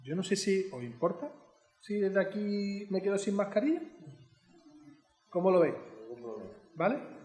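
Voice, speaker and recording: Chatty male teacher, high voice. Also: expressive, a little slow, long pauses, a little mellow, reverberant. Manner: chatty teacher, asks the audience questions. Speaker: educator giving a heritage talk. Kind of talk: informal lecture to a small audience.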